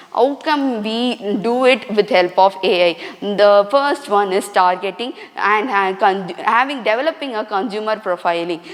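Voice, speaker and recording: A woman lecturer giving a classroom lecture, halting often with restarts and fillers. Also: fairly high pitch, long reverb tail.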